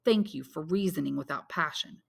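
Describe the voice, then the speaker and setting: An honorable, lovelorn young man from a classic novel read by a woman, earnest and intense. The voice sits fairly low with a creaky edge, solemn and grimly resolute. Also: normal speed, exaggerated voice. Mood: bitter resignation. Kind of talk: dramatic audiobook character reading.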